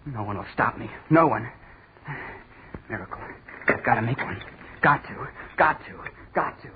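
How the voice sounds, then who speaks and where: An actor playing an obsessed young student chemist with an American accent, muttering and crying out in a 1940s radio horror style. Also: male voice, medium pitch, expressive, normal speed, lightly acted. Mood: gloating obsession. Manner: fevered, repetitive muttering rising to cries. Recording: noisy, tinny 1940s radio recording.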